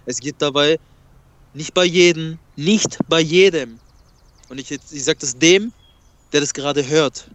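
A man's voice: fairly high pitch, unhurried, fairly bright tone, a little hiss or hum. A man whose first language is Kurdish, talking emphatically and repeating himself in a casual spiritual conversation.

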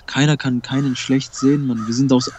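A man's voice: medium pitch, fairly even intonation, a little fast, darkish tone, dull audio, a little hiss or hum. A man whose first language is Kurdish, talking emphatically and repeating himself in a casual spiritual conversation.

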